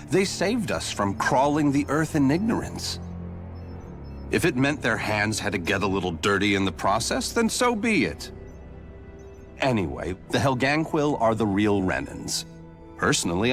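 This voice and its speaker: A man voicing a nonchalant, smug alien informant in a video game, breezy and expressive. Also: mid-range voice, natural voice. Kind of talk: scripted video game voice acting.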